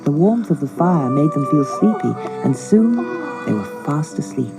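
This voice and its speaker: Low-pitched, expressive woman storyteller. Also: loud music behind the voice, poor audio. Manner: measured, dramatic children's storytelling. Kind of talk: expressive scripted fairy-tale narration.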